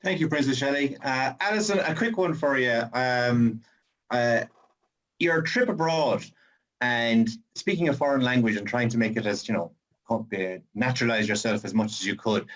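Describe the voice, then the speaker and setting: A man's voice: medium pitch, moderate pace, fairly bright tone, rough recording. Irish man, hesitant questioner with a ready laugh, video call. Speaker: audience member asking a question.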